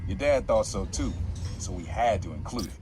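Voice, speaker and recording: An actor playing a weary former thief and uncle, speaking in a low, reflective way in a game cutscene. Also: male voice, animated, moderate pace, noisy background, close to an everyday voice. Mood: wistful.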